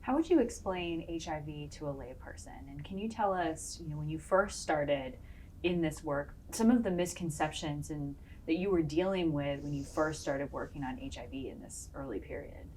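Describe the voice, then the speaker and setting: Interviewer: woman, lowish voice, measured formal questions, faint background hiss. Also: darkish tone, low-quality recording. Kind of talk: oral history interview.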